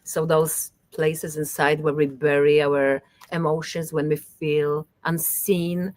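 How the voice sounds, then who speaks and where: Low-voiced woman, Polish native speaker. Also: little pitch movement, no room sound. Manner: halting, many fillers, laughs often. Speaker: musician guest. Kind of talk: casual interview talk.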